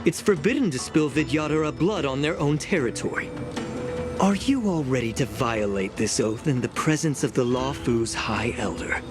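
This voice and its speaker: A male actor plays a solemn, scheming male elder of a dragon people in a fantasy game. He speaks in formal, declamatory lines with self-righteous gravity, at a middling pitch. Music plays clearly behind the voice. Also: animated, normal speed, lightly acted. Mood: defiant, stern. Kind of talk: scripted fantasy game voice acting.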